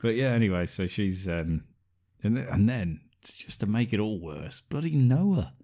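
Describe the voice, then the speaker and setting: A man's voice: lowish voice, expressive, moderate pace, mellow. A man co-hosting a film podcast, recapping the plot with wry, sarcastic asides. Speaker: podcast co-host. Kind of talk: casual film review chat.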